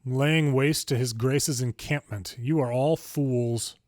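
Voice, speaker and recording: Man acting a slow, menacing enemy general. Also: mid-range voice, a little mellow, no echo, clean studio sound, close to an everyday voice. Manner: slow, deliberate, threatening delivery. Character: merciless enemy general, haughty and menacing war-game villain.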